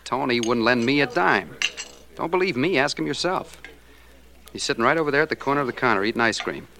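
A man's voice: mid-range voice, animated, a little fast, close to an everyday voice. An actor playing a chummy, slangy soda-counter man in 1940s radio drama style. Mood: casual, frank. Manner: breezy diner slang. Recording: noisy old radio recording.

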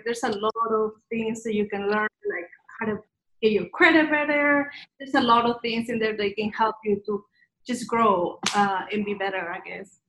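A woman guest speaking haltingly, with some fillers. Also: fairly high pitch, fairly bright tone, reverberant, a little hiss or hum, rough recording. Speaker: guest employee.

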